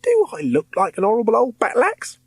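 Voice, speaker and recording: A man playing a streetwise young ghost, chummy and reassuring to his friend. The voice sits well above a man's usual pitch and moves about a lot. The sound is dry and close. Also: wildly swooping pitch, a little fast, slightly rough, dry recording, full character voice. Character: cheeky boy ghost, cheerful and streetwise.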